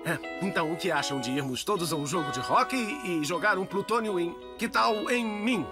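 A man voicing a zany, excitable and protective father with a Brazilian accent in a cartoon dub, theatrical and exclamatory. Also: high voice, normal speed. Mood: gentle, explaining. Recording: loud music under the voice.

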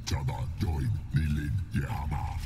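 A man playing a menacing, imperious armoured warlord in a video game, with drawn-out words. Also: rumbling low, level tone, over music, heavily acted. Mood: commanding. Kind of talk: video game cutscene acting.

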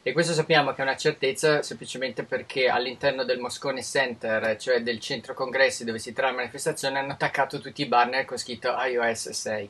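A man from Italy answering interview questions as a tech expert, explaining in a measured, informative way with frequent restarts. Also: mid-range voice, a bit bright, husky.

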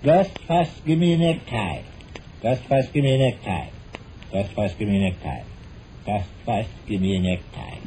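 An American actor playing a stubborn, middle-aged husband with a dry, mock-grandiose manner in a 1940s radio comedy. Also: male voice, on the low side, moderate pace, dark tone, natural voice. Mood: stubborn, weary. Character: droll, stubborn, evasive husband in a domestic comedy. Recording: noisy old 1940s radio recording.

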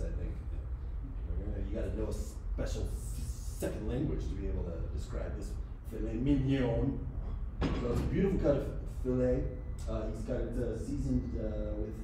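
A man in his thirties narrating a cooking demo as comic improv, rambling with many fillers and restarts, in a large studio kitchen on a lapel mic. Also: medium pitch. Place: large home-style studio kitchen, indoors. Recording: echoey kitchen, from a distance, with noise.

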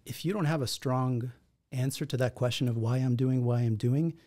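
Man, forties, from israel, podcast guest, dark mellow voice, reflective, measured pace, close studio mic. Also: mid-range voice. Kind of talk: conversational storytelling. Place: podcast studio in a martial arts gym. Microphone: boom-arm studio mic with headphones.

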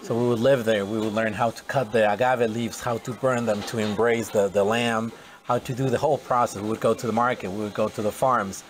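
A man's voice: fairly low pitch, fairly even intonation, fairly quick. Man with a mexican accent, forties, chatty and rambling, outdoor interview, close camera mic. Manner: rambling with many restarts and fillers. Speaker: restaurant owner. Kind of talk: casual interview. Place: outdoors at a farm and on a restaurant patio.

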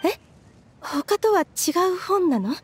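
A woman's voice: very high voice, very animated, slow, a little hiss or hum, heavily acted. A woman playing a refined, guarded, controlling motherly woman, speaking in a polite, ladylike way in an anime game. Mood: puzzled. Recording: background music under the voice.

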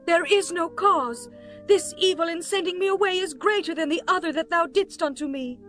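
An actress playing a distressed young princess in a dramatized audio Bible, pleading in archaic formal speech. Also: female voice, extremely high pitch, moderate pace, a bit bright, faint music behind. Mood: hurt protest.

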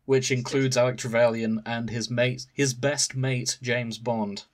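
A man's voice: medium pitch, little pitch movement, a little slow. A man podcast host casually recounting a story to friends in an amused, wry manner. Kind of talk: casual chat, recounting fan fiction.

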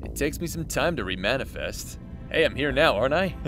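A man's voice: mid-range voice, animated, brisk, fairly bright tone, soft background music. A man playing a cocky demon schemer in an audio roleplay drama, casual and teasing with a swaggering manner. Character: cocky, taunting male demon, amused schemer.

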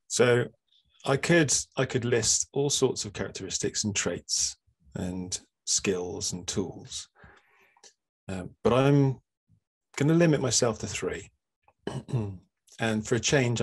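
A man on a video call panel delivers his answer with dry, self-deprecating wit. His voice is low and husky, with a bit of grit to it. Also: a little slow, pauses often. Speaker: panelist, author. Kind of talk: casual panel discussion.

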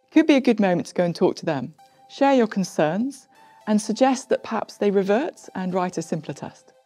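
Middle-aged woman, warm and mellow, clear friendly tutorial delivery, faint music bed. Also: on the low side. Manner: clear, friendly explaining with lively emphasis. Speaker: software coach. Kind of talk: explanatory tutorial to camera. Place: studio with plain backdrop.

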